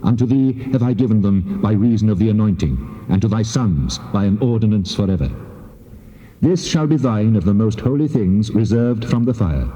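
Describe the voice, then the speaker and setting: Solemn God, dark and cavernously reverberant. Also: male voice, on the low side, lively intonation. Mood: solemn, decreeing. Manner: solemn, measured, authoritative declaiming. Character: God of Israel, solemn commanding lawgiver. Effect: heavy reverb on the voice. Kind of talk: dramatised scripture reading in King James English. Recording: muffled tape, long cavernous reverb.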